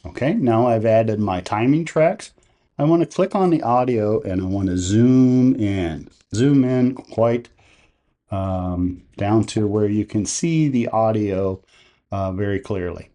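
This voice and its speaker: A man hosting a tutorial, narrating software steps one by one. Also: lowish voice, slow. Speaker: tutorial host.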